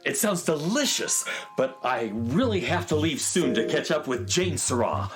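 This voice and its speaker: A man's voice: fairly high pitch, big pitch swings, a little slow. An actor playing a politely smug handyman villain in a children's radio play. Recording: music bed under voice.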